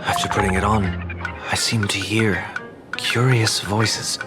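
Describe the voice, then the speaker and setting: An actor playing a composed, courteous, refined man in a video game, speaking in a measured, formal, reflective way. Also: fairly low pitch, little pitch movement, over loud music, natural voice. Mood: calm, musing. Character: composed, courteous, refined man who once sought godhood. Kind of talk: video game character dialogue.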